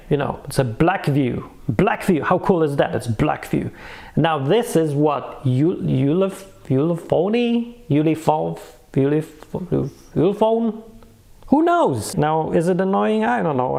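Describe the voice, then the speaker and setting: A man's voice: a little high, gravelly, darkish tone. A man giving a casual, unscripted product review, talking emphatically with dry, offhand humour and repeating words for effect.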